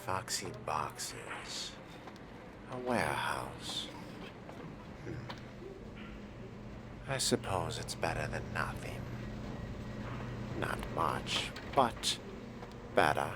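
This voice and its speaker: Low-voiced man musing slowly in character. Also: animated, very noisy. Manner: deliberate, musing, formal wording, often hushed. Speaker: game player role-playing a vampire character. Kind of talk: in-character roleplay narration of game playthrough.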